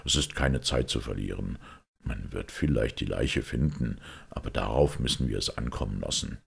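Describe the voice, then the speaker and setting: A shrewd, pensive priest detective from an audiobook , voiced by a male reader. He is extremely deep and full of gravel, explaining his deductions calmly and deliberately. The voice is very husky. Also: full character voice. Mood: quietly urgent.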